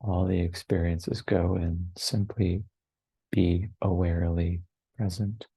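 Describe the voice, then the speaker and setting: A man in his forties leading a guided meditation in a hushed, unhurried way, with long pauses between short phrases. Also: low-pitched, fairly even intonation, gravelly, slightly rough, no room sound, poor audio. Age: 40s. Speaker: meditation teacher. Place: online retreat over video call, dry close sound.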